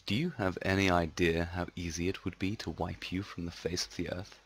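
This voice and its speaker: Man playing a low, condescending British villain. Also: fairly even intonation, breathy edge, no room sound. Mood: cold menace. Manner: measured, condescending, menacing. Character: well-spoken British villain, coldly contemptuous, all-powerful.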